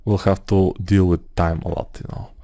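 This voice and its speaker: A man's voice: low-pitched, level tone, moderate pace, mellow, dry recording. A man with a Bulgarian accent, a non-native English speaker, talking through a 3D modeling tutorial as he works, thinking aloud with hesitant fillers. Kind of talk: screen-recorded software tutorial.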